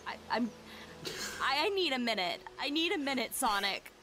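A woman's voice: high voice, big pitch swings, moderate pace, bright, forceful tone, slight background noise, heavily acted. A woman voicing a sweet young princess in an improvised fandub, rambling in a giggly, flustered way. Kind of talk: improvised real-time fandub.

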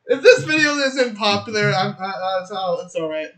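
A man's voice: sits high, very animated, normal speed, crowd noise. A man giving a casual outro to his amateur fan dub video. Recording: amateur mic, faint music behind.

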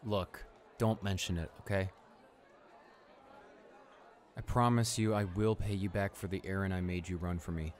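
A young man playing a cocky school bully with a hidden soft spot in an audio roleplay, brash and halting as he talks. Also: low voice, fairly even intonation, some chatter behind, close to an everyday voice. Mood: awkward, earnest. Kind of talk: dramatic audio roleplay, monologue.

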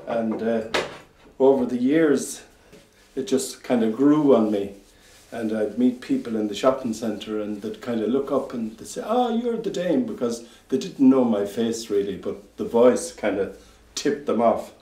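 A man's voice: mid-range voice, animated, measured pace, mellow. An Irish man in his sixties reminiscing in an interview as a former pantomime performer. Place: home kitchen. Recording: roomy echo, slightly distant mic.

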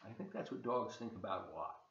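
A man in his seventies reading a children's storybook aloud in a folksy, expressive way with chatty asides to young listeners. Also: lowish voice, fairly quick. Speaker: volunteer storybook reader. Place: open living room and kitchen, indoors. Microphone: webcam at desk distance. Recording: dull, roomy home webcam recording.

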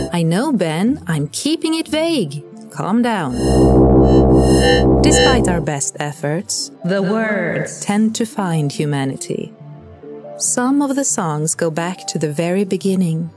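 A woman narrating scripted fiction as a wry, measured storyteller. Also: fairly low pitch, dark tone.

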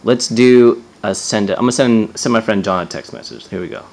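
A man's voice: fairly low pitch, fairly quick, gravelly, a little mellow. A man giving a casual hands-on gadget demo as a reviewer, offhand and sardonic, swearing and sounding exasperated.

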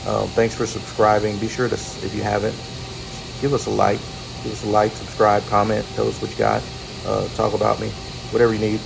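A man's voice: on the low side, flat delivery, fairly quick, creaky, over music, rough recording. A middle-aged American man narrating a casual how-to as a welder, in a laid-back, folksy way. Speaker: welder hosting a tutorial.

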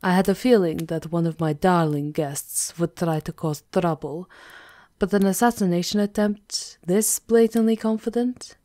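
A woman's voice: on the low side, moderate pace, warm tone, no echo, clean studio sound, close to an everyday voice. A woman playing a commanding aristocratic vampire countess in an ASMR roleplay, with a theatrical, teasing menace. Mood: smug, controlled.

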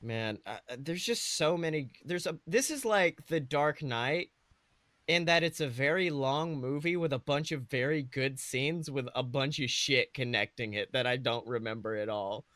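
Guy with a bright forceful voice bantering on a comedy podcast, swears a lot, super slangy. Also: medium pitch, moderate pace, dry recording. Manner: slangy, sweary, animated joking. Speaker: podcast co-host. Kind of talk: casual comedic chat.

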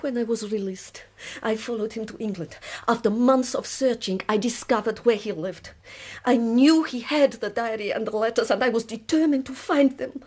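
An actress playing a proud, weary middle-aged revolutionary woman in a radio drama, speaking slowly and deliberately. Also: fairly high pitch, a little mellow, lightly acted. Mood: bitter, grave. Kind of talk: BBC radio drama acting.

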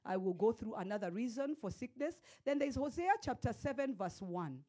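Kenyan woman pastor, high voice, impassioned sermon, handheld mic, church hall. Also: animated, normal speed. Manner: impassioned preaching, swinging from loud declarations to hushed storytelling. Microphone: handheld microphone.

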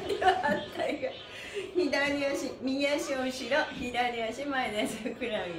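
A woman's voice: high voice, slow, music in the background. A woman leading a live stretching class, cheerful and encouraging, chatting with viewers and chanting counts. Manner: cheerful, encouraging, often laughing. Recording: roomy echo, faint background noise.

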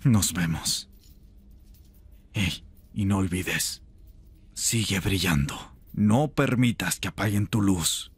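A man voicing a chained rebel mage in an ASMR roleplay, intense, seductive and tender, with a breathy, gravelly voice. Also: low-pitched, slow, long pauses, no room sound, heavily acted. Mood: warm, wry.

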